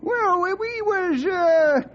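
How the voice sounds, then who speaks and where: An actor playing a crusty, excitable old western sidekick in a radio drama, with folksy backwoods talk. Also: male voice, very high voice. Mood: flustered. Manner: folksy backwoods grammar and drawn-out delivery. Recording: muffled old radio recording, background hubbub.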